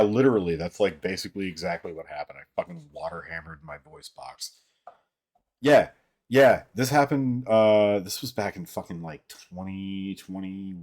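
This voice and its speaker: A man with a deep, somewhat monotone voice, chatting casually as a livestream host in a rambling, halting way with plenty of swearing. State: voice somewhat out of sorts. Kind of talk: casual livestream chat, reading viewer comments.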